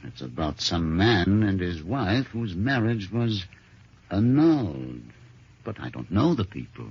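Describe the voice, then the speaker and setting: An actor playing a controlled, resigned middle-aged husband in a measured, formal, theatrical old-time radio drama style. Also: male voice, deep voice, big pitch swings, slightly rough, exaggerated voice. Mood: puzzled, wary. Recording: dull, noisy old radio recording.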